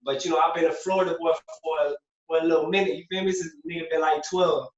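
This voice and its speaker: A man's voice: fairly high pitch, steady tone, fast. A young man from Puerto Rico, a former prisoner, telling his story as a guest in a loose, streetwise way, filling his talk with "you know what I'm saying". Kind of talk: casual interview storytelling. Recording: roomy, distant, dull lo-fi sound.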